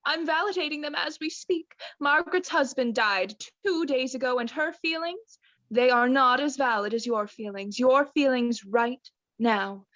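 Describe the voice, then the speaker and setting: A woman acts a doting, aura-minded wife in a high voice, close and dry on a lo-fi recording. She soothes with affected gentleness and can turn prim, as in a comic radio play. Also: no echo. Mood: soothing, doting. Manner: affectedly soothing therapy-speak, drawn-out. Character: doting New Age wife, soothing but snippy. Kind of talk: student radio play acting.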